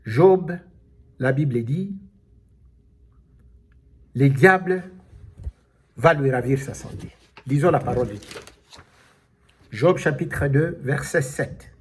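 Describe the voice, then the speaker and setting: A man preaching a sermon, slow and emphatic, calling out alleluia as he explains scripture. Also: mid-range voice, slightly rough. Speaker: pastor.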